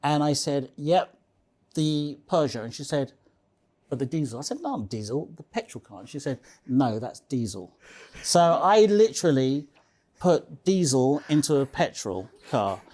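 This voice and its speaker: A man with a slightly high-pitched voice talks casually as a guest in an interview. He is emphatic and anecdotal, often repeating a phrase for weight and tagging his statements with a short questioning check. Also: animated, unhurried, darkish tone.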